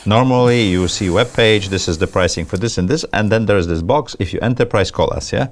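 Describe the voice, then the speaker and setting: Deep-voiced interviewer. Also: male voice, brisk. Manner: short probing questions, often ending with "yeah?". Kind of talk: casual interview questions.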